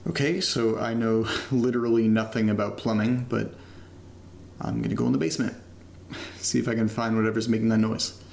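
A man's voice: on the low side, fairly even intonation, brisk, creaky, a little mellow, slight background noise. An actor playing an uneasy young man keeping a casual, hesitant spoken diary in a found-footage horror audio drama. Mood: uneasy, curious. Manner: casual, hesitant, muttering, self-deprecating. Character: uneasy young man recording strange noises in his new house.

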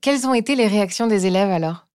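A woman hosting a podcast, reading a scripted introduction and asking calm, even interview questions. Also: medium pitch, quick pace, smooth, no echo, studio quality. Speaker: podcast host.

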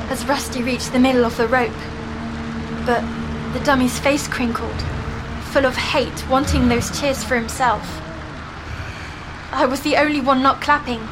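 A woman's voice: high voice, noisy background. An actress playing a frightened woman patient recounting a nightmare in intense, dramatic horror narration. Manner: intense, breathless storytelling that builds into panic. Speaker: actor. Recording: loud music under the voice.

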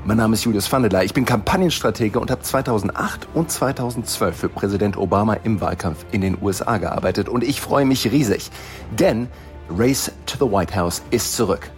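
A man's voice: lowish voice, a little fast, faint music behind. A man co-hosting a political podcast as a campaign strategist, talking energetically and with emphasis. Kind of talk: political analysis chat.

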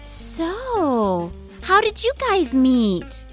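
Woman acting sociable neighbor wife, gushing, high voice, slow drawn-out words, audio drama, faint music. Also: wildly swooping pitch, fairly bright tone, exaggerated voice.

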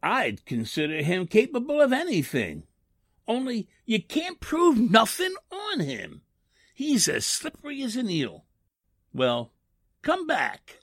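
An actor playing a grumbling, plain-spoken prison guard with husky, ungrammatical working-class talk in a 1940s radio drama. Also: male voice, high-pitched, wildly swooping pitch, slow pace, fairly bright tone, no echo, studio quality, heavily acted. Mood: scornful, emphatic.

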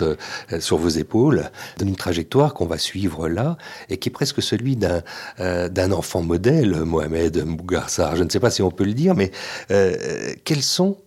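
A low-pitched male interviewer with a husky, slightly breathy voice. He builds long, ornate questions in a formal tone and fills them with hesitant pauses. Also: sing-song, darkish tone. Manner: elaborate, formal phrasing full of hesitations. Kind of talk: radio-style literary interview.

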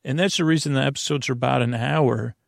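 A man with a gravelly, creaky voice hosting a sleep podcast, rambling through a bedtime monologue in a meandering, hesitant way, often interrupting himself. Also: mid-range voice, fast, dry recording, clean studio sound.

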